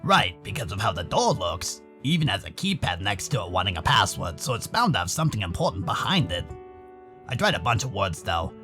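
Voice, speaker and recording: A man acts out an anxious male furry housemate from a visual novel. The voice is low and gravelly yet bright and forward, hesitant and awkward, with music playing underneath. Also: sing-song, a little fast, exaggerated voice. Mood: explanatory. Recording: music behind the voice.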